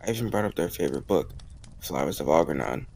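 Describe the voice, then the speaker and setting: A man acting a warm, teasing young suitor in a romantic audio roleplay, talking casually and intimately to the listener. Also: rumbling low, animated, fast, creaky, slight background noise, heavily acted. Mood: casual, amused. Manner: casual, gently teasing, conversational with soft chuckles.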